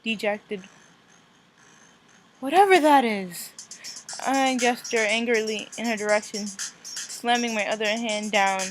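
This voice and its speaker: A woman hosting a visual novel let's play, reading aloud with casual, expressive reactions and stumbling over and repeating phrases. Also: on the high side, takes it slowly, pauses often, poor audio. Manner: expressive, reacts in outbursts between read passages. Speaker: gaming video host. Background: music.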